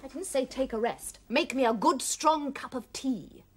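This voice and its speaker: A woman's voice: high-pitched, normal speed, close to an everyday voice. A woman voicing a tyrannical, power-hungry cartoon queen who speaks imperiously and drops into hissing whispers. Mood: gloating. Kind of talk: cartoon voice acting.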